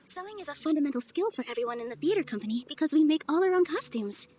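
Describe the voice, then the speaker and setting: Gentle, expressive young dancer. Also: female voice, high-pitched, rapid-fire, slight background noise. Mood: warm, admiring. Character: gentle, graceful young female festival dancer, sincere and kind. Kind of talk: video game character voice acting.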